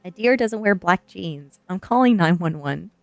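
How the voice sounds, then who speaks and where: Storybook best friend: frightened teen girl voiced by a woman, breathless, pleading, low-set voice over music. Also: expressive, moderate pace, gravelly, no echo, dull audio. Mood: panicked. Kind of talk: storybook dialogue in an ASMR reading.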